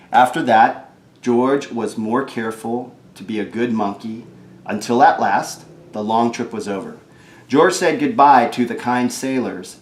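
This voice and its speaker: Unhurried man reading a storybook, roomy sound. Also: mid-range voice. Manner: informal, chatty commentary on the pictures between read lines. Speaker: storybook reader. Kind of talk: animated read-aloud of a children's picture book with casual asides.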